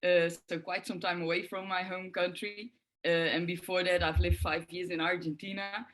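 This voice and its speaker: A woman's voice: on the low side, little pitch movement, moderate pace, poor audio. A middle-aged woman from the Netherlands with a Dutch accent, speaking thoughtfully and with many filler sounds as a guest on forgiveness work. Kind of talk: reflective interview answers.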